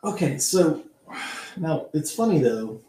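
A man's voice: on the high side, very animated, takes it slowly, mellow. A man co-hosting a casual podcast conversation, asking probing questions and cutting in to steer the talk. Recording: roomy, faint background noise.

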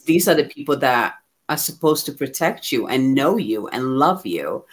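Reflective, expressive woman from Ghana. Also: a bass voice, moderate pace, husky. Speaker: guest receiving a card reading. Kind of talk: candid personal conversation.

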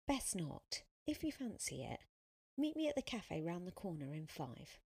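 A woman's voice: lowish voice, sing-song, moderate pace, breathy edge, heavily acted. Woman as a cool, teasing young male heartthrob. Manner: cool, quiet, intrigued.

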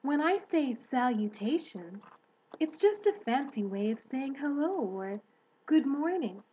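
Woman as a kindly, unhurried lady spider. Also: high voice, sing-song, husky, voice pushed up, heavily acted, rough recording. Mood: friendly, composed. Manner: composed, articulate, gently matter-of-fact. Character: kindly, self-assured, genteel female grey spider. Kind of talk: children's storybook character voice.

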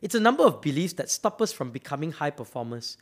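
A man's voice: a little high, very animated, fast talker. A man from Malaysia giving a motivational talk, emphatic and often repeating words as he builds up to a point. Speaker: speaker.

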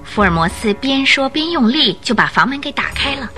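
一位女旁白以富有戏剧性的方式讲述广播剧故事。. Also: female voice, high-pitched, expressive, fairly quick, pushed and bright, faint music behind. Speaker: narrator. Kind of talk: dramatic scripted storytelling narration.

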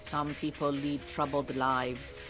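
An actress playing an exacting Iranian refugee mentor in an audio play, speaking formally and precisely with dry, bossy authority. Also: female voice, a bass voice, little pitch movement, slow pace, fairly bright tone, faint music behind, full character voice. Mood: dry, disapproving. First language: Farsi. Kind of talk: audio theatre acting.

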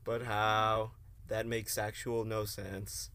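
A man playing a quiet, self-important philosophy student in an amateur radio play, speaking stiffly and formally. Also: lowish voice, monotone, slow pace. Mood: baffled, insistent. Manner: stilted, formal speech.

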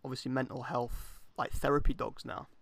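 A man's voice: mid-range voice, level tone, brisk, vocal fry, no room sound, studio quality. A British man co-hosting a casual comedic chat, irreverent and sweary, presenting bits he has looked up. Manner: laughs through speech, irreverent, sweary. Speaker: podcast co-host presenting researched facts.

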